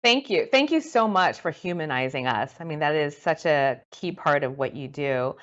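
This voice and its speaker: A woman with a notably low voice conducts a live interview. She phrases her questions and comments earnestly and articulately. The sound is a little dull, like streamed audio with the top end softened. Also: lively intonation, normal speed. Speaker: interviewer, journalist host.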